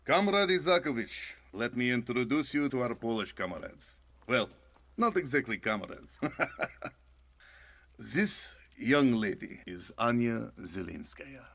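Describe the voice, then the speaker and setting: An actor playing a hearty, hard-drinking Soviet sergeant who speaks in a boisterous, jovial way. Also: male voice, medium pitch, sing-song, takes it slowly, fairly bright tone, exaggerated voice. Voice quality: boisterous, jovial, then grim.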